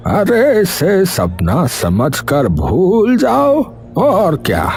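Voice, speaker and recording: A man's voice: high voice, huge pitch swings, drawn-out words, vocal fry, darkish tone, soft background music, full character voice. A man playing a cautious old village grandfather in a cartoon story, giving portentous warnings. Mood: dismissive, grave.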